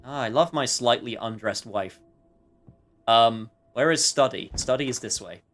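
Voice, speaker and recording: A man's voice: medium pitch, unhurried, a bit bright, faint music behind. A man doing casual, rambling, jokey live commentary while streaming a game.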